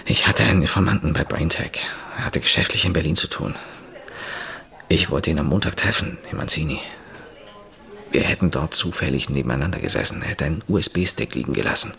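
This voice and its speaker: A man's voice: rumbling low, a little fast, creaky, sharp tone, exaggerated voice. An actor playing a male journalist in a radio play, talking urgently and confidingly about a secret. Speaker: journalist character.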